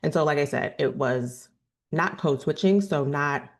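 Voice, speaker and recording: A woman in her thirties with a deep register, earnestly telling a personal story to camera and often correcting herself mid-sentence. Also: unhurried. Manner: earnest, thoughtful, with frequent self-corrections and "you know". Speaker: vlogger.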